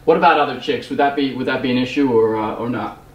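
Young man, mid-pitched, hesitant prank caller, repeated phrases, roomy sound, slightly off-mic. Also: quick pace, slight background noise. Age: young adult. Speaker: prank caller posing as a TV producer. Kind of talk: improvised prank phone call. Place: small bedroom, indoors. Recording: roomy, slightly distant microphone.

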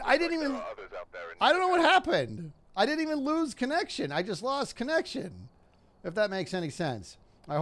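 A man's voice: high voice, a bit bright. A man streaming a game, chatting casually with rambling restarts and frustrated muttering. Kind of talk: casual gaming stream chat.

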